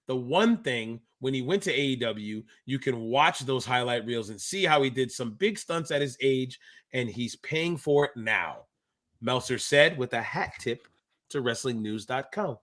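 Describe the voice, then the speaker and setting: Bright, forceful man in his thirties. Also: mid-range voice, expressive, a little slow. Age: 30s. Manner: informal, slangy, talks like a wrestling insider. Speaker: co-host of a pro wrestling podcast; active pro wrestler. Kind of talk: casual podcast chat and commentary.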